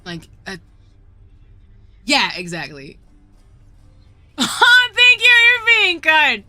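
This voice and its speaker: A young woman with a Southern drawl chats on a gaming stream, giggling often and rambling through filler words. Her voice is bright and bouncy, leaping between high and low notes, with faint music playing behind her. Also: huge pitch swings, takes it slowly. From southern United States. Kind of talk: casual live-stream chat while gaming. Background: faint background music.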